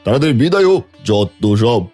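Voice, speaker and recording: Man as a brusque, fast-talking stingy shopkeeper. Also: medium pitch, very animated, mellow. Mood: scornful. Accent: Bengali. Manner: brusque, dismissive, mocking. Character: stingy, scornful village biryani shopkeeper. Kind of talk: Bengali fairy tale cartoon dubbing. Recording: music under the voice.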